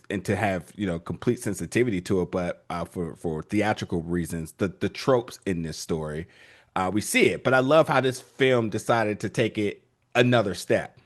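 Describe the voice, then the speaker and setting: A man interviewing film guests, rambling through long questions with many restarts. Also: lowish voice.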